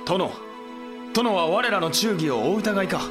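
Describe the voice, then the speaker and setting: An actor playing a loyal, aggrieved samurai retainer in a period audio drama, speaking in formal, archaic protest. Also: male voice, high-pitched, lively intonation, unhurried, music in the background. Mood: aggrieved, earnest.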